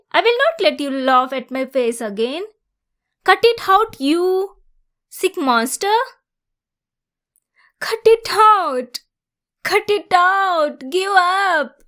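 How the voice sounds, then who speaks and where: A woman playing an obsessive, heartbroken young woman who holds her ex captive, swinging between hushed menace and frantic outbursts. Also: sits high, exaggerated voice. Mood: frantic shouting. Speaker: voice actor. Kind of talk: audio roleplay acting.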